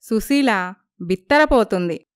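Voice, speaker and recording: A woman's voice: mid-range voice, animated, slow pace, clean tone, no echo, clean studio sound. A woman narrating a scripted moral story as its storyteller.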